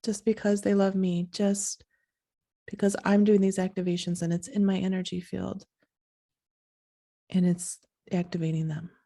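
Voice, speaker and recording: A woman hosting a podcast in a soft, sleepy voice, talking in a slow, halting, reflective way. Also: mid-range voice, level tone, creaky. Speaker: podcast host.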